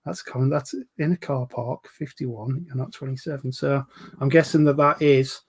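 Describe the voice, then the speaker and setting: A man's voice: medium pitch, fairly even intonation, moderate pace, husky. A man in his forties with a London accent, hosting a casual live stream, chatting and reading out viewer comments with lots of ums. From England. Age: 40s. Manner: chatty, rambling, full of ums, banters with viewers. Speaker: livestream host reviewing vape juices.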